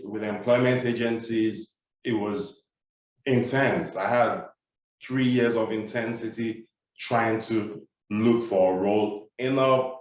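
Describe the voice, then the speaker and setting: A man in his thirties from Nigeria with a Nigerian accent, Yoruba his first language, giving a measured keynote talk on a webinar with frequent "uh" fillers. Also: mid-range voice. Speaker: keynote speaker. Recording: echoey, dull sound from far off the mic.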